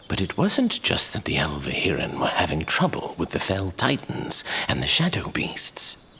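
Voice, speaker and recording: A man tells a scripted fantasy tale as narrator. His voice is on the low side and sharp-edged, moving expressively through dramatic phrases, with faint background ambience. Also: wildly swooping pitch, moderate pace, a little hiss or hum.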